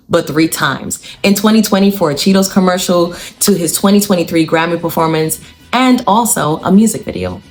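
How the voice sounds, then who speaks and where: A young woman, a dancer explaining choreography in a casual, slangy scripted video with punchy lists. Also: on the low side. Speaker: dancer and commentator.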